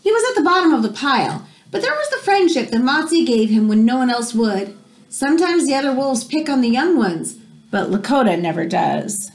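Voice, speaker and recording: An American woman in her thirties reading a children's nonfiction book aloud at home, pausing to explain things simply like a teacher. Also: sits high, sing-song. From United States. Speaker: read-aloud host for children. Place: small room at home, indoors.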